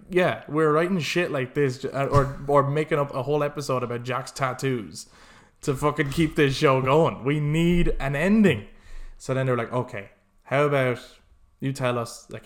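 A man hosting a casual podcast, talking in an animated, rambling, opinionated way. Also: medium pitch. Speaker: podcast host.

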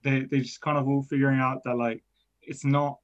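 A man's voice: medium pitch, flat delivery, fairly quick. Young guy, persian native speaker, chatty and rambly, muffled lo-fi audio. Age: young adult. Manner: rambling, filler-heavy, self-deprecating. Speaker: engineering student. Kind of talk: casual podcast chat. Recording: dull lo-fi sound.

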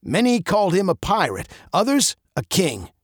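A man's voice: high voice, huge pitch swings, moderate pace, breathy edge, dry recording, studio quality, voice pushed up, exaggerated voice. Man doing a grim old sea captain.

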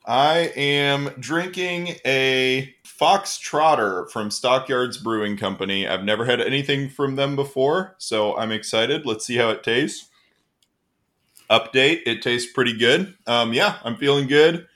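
Mid-pitched man chatting on a podcast. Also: a little slow, pushed and bright. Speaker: podcast co-host. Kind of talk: casual podcast chat.

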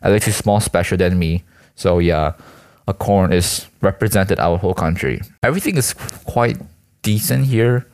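Low-voiced young Malaysian student, rambling casually. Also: male voice, normal speed, darkish tone, clear, resonant tone. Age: teens to young adult, school student. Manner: hesitant, self-deprecating, slangy. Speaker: student vlogger and aspiring actor.

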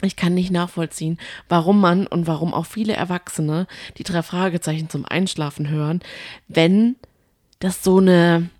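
A woman with a fairly low voice talks casually as a podcast co-host. She has a teasing, playfully critical way of putting things, and the recording is close and dry. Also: fairly even intonation, normal speed, a little mellow, dry recording.

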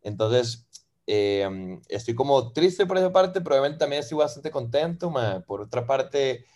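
A Venezuelan-born man in his thirties with a Costa Rican accent, chatting casually as a podcast guest and filling pauses with "ma" and "eh". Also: medium pitch. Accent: Costa Rican Spanish. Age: 30s. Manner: relaxed, fills with "ma" and "eh". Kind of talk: casual interview chat.

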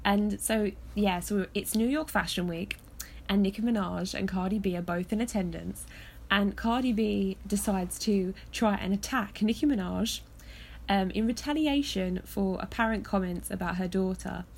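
A woman hosting a podcast, chatting casually from her bed with a hoarse voice from illness. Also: mid-range voice, normal speed, a little hiss or hum. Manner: chatty, laughs often. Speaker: podcast host. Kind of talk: casual chat. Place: in bed at home.

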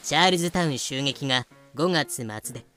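A man's voice: on the high side, brisk, bright, forceful tone, soft background music. A man voicing a bold, easygoing, scheming female pirate captain with breezy, self-assured feminine phrasing in a fan audio drama. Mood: calm, insistent.

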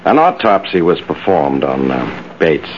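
An actor playing a shrewd, dry police interrogator with folksy questioning in a 1940s radio crime drama. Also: male voice, rumbling low, sing-song, measured pace, heavily acted. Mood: dry probing. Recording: muffled, faintly hissy old radio recording.

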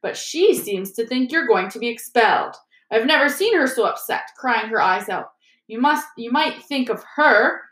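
A woman's voice: a little high, animated, moderate pace, reverberant, low-quality recording. A woman voicing a pompous, indignant teenage schoolboy prefect who scolds fussily in a storybook reading. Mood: fierce reproach. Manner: loud, indignant, fussy.

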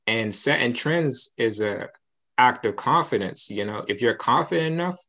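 A man co-hosting a podcast, talking in a measured, advice-giving way. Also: medium pitch, expressive, creaky, sharp tone. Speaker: podcast co-host. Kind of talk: casual podcast chat.

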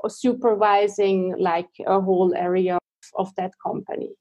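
Swiss woman guest, 30s, soft mellow tone, hesitant English. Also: mid-range voice, little pitch movement, normal speed, dull audio. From Switzerland. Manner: hesitant, searching for English words. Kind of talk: casual interview chat.

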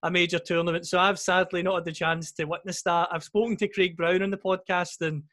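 A young man with a Scottish accent hosts the interview in a high-pitched voice. He asks his questions in a friendly, casual way. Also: little pitch movement, brisk, a bit bright. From Scotland. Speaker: podcast host.

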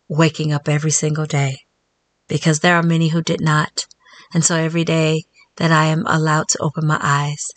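Low, even-voiced woman podcast host. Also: no echo. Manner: earnest, reflective, speaks affirmations slowly. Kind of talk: solo wellness podcast talk.

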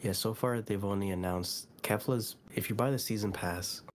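A man co-hosting a casual podcast, wry and deadpan. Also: on the low side, moderate pace, a little hiss or hum. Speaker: podcast co-host. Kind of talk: casual chat.

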